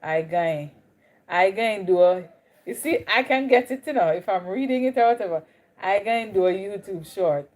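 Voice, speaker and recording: A woman's voice: medium pitch, animated, moderate pace, a little mellow. Chatty Jamaican woman on a livestream. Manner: chatty, greets viewers, drifts between Caribbean accents. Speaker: livestream host. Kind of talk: casual live stream chat with viewers.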